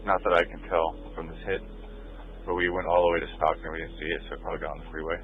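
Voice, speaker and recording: Deep monotone male officer on police radio. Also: racing. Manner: clipped, matter-of-fact. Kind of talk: radio call. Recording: dull radio scanner with faint music.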